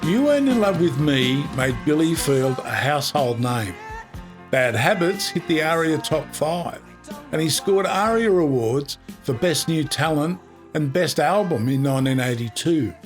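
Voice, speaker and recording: A man's voice: on the high side, lively intonation, unhurried. An Australian man presenting music history in upbeat, colloquial scripted narration. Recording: music playing behind. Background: background music.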